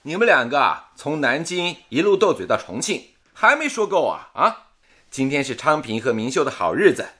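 Genial peacemaking man, bright voice. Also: a little high, very animated, moderate pace. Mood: amused. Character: genial, conciliatory male peacemaker among radio station friends. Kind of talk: radio drama acting.

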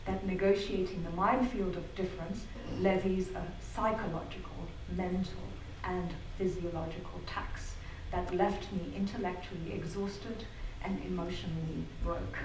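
A middle-aged woman gives a measured, articulate talk with the odd wry aside. Her voice sits at an ordinary pitch and carries from a distance. It echoes around a big theatre, with a faint hum of room noise underneath. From Zambia. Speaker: speaker giving a talk. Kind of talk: prepared public talk. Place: theatre hall. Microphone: venue sound picked up from the back of the hall. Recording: far-off roomy hall capture.

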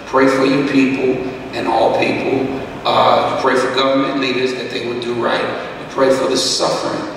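A middle-aged man teaching a Bible study as pastor, talking conversationally with many fillers and a slightly rough voice, at a podium mic. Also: medium pitch, flat delivery, moderate pace. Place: church hall, indoors. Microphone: podium microphone, far camera. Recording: echoey hall, distant mic, background hiss.

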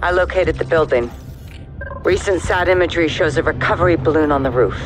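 A woman's voice: deep voice, brisk, lightly acted. A woman acting a shrewd intelligence officer in a military game cutscene, giving clipped, matter-of-fact briefings. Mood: businesslike. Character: shrewd, composed female intelligence officer running covert military operations. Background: loud dramatic music.

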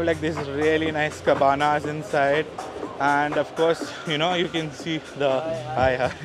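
A man vlogging a walking tour of a beach, chatting casually to his viewers with plenty of fillers. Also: on the high side. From India. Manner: chatty, addresses viewers as guys, many fillers. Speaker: travel vlogger. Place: outdoors on a busy beach. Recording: outdoor noise behind the voice.

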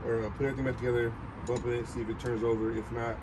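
A man's voice: medium pitch, flat delivery, rapid-fire. A man hosting a car restoration video, chatting casually and slangily while he works outdoors. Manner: informal, slangy. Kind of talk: casual chat while working. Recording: loud outdoor car yard noise.

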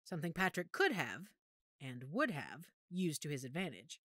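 A woman playing a knowledgeable werewolf pack member in an audio drama, speaking in a measured, authoritative, explanatory way. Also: deep voice, sing-song, fairly bright tone, no room sound, clean studio sound, exaggerated voice. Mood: informative, assured. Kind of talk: audio roleplay drama acting.

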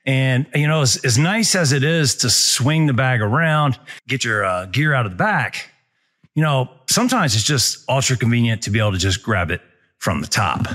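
Relaxed man vlogging on a forest trail. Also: medium pitch. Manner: relaxed, conversational, many fillers. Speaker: outdoor gear reviewer. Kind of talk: casual gear review vlog. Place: wooded hiking trail outdoors. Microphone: handheld action camera at arm's length.

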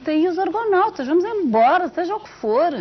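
A woman's voice: extremely high pitch, moderate pace. A woman in her late thirties from Portugal, with a Portuguese accent touched by Brazilian speech, telling stories expressively as a guest on a studio interview show. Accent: Portuguese, with some Brazilian touches. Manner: lively, expressive storytelling with broad gestures. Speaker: singer and songwriter, guest. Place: TV studio set, seated on a sofa. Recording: dull old broadcast tape with faint hiss.

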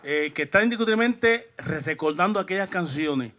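A middle-aged man, a journalist asking a question at a press conference in a halting, repetitive way. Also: sits high, lively intonation, a bit bright. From Cuba. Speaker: newspaper journalist.

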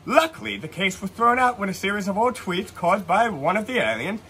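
A man's voice: high-pitched, big pitch swings, a little fast, noisy background. A man playing an earnest oddball who tells of alien encounters in a mock-serious, theatrical comedy monologue. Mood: dramatic storytelling.

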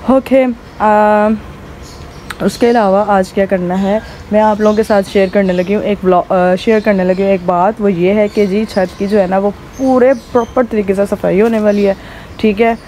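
A woman with a hoarse throat chats casually to camera as a vlogger, rambling with many fillers while filming on a rooftop. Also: medium pitch, steady tone, normal speed, dark tone, slight background noise. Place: rooftop outdoors.

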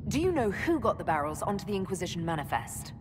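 An actress playing a weary but resolute female elf leader who speaks in a quiet, steady manner in a video game. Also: medium pitch, fast, noisy background, close to an everyday voice. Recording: game audio with music.

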